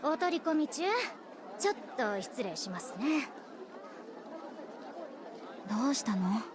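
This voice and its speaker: Young woman with lively, swooping pitch acted. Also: moderate pace, crowd noise, close to an everyday voice. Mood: calm. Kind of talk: Japanese visual novel voice acting. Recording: game audio under noisy stream ambience.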